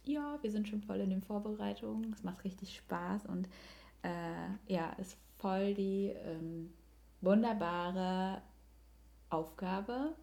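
A woman's voice: mid-range voice, takes it slowly, gravelly, warm tone. A young woman chatting casually and reflectively as a podcast guest. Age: young adult. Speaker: podcast guest, yoga teacher and coach.

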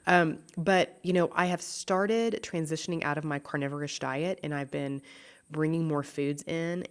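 A woman with a notably low voice hosts her podcast in a bubbly, chatty way. Allergies leave her nose stuffed up and her voice a touch raspy. She is talking in a large room with stucco walls. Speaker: podcast host, nutritionist. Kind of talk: casual solo podcast talk.

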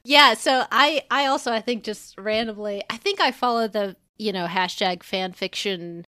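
Female guest co-host, mid-pitched, wry and conversational, casual podcast discussion, dry recording. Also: moderate pace, bright, forceful tone, no echo.

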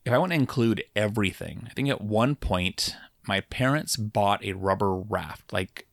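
Laid-back man, low voice, dry sound. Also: vocal fry, no room sound. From Puget Sound, Washington. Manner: relaxed, rambling, halting restarts. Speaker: podcast co-host. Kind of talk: casual chat.

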